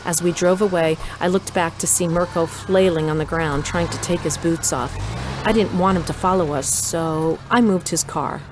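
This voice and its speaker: A woman's voice: lowish voice, moderate pace, very noisy, natural voice. A middle-aged woman playing a determined, wry mother who narrates her own adventure in an audio drama. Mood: tense, wry. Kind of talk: first-person scripted narration.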